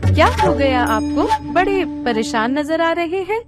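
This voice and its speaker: A woman playing a gentle, dutiful young village wife in a Hindi animated moral story. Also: extremely high pitch, slow pace, loud music behind the voice, exaggerated voice. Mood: concerned.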